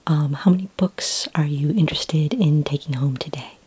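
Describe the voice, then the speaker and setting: A woman playing a friendly, helpful sci-fi librarian in a soft-spoken, half-whispered ASMR roleplay, with gentle, hesitant, unhurried delivery. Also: low voice, breathy edge, no echo. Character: friendly, helpful female futuristic library clerk for aliens.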